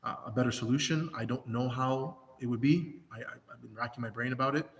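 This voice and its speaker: A hoarse man speaking as a priest in a livestreamed pastoral talk, earnest, with many restarts and fillers. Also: medium pitch, fast talker, long reverb tail. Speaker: Orthodox priest.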